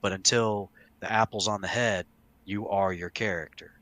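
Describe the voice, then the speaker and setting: A man's voice: fairly low pitch, fairly even intonation, moderate pace, a bit bright, no room sound. A man hosting a casual podcast discussion, explaining his points emphatically with repeated phrasing.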